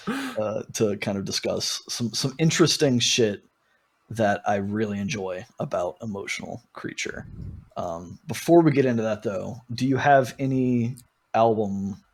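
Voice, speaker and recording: Man, podcast host, creaky fry, husky tone, casual album talk, informal, wandering asides. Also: lowish voice.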